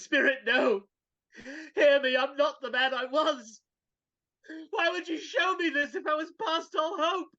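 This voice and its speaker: Woman doing old miser guy in a live reading, super impassioned, high bright voice, kinda lo-fi call audio. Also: exaggerated voice. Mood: pleading, fearful. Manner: impassioned, theatrical. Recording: dull video call audio.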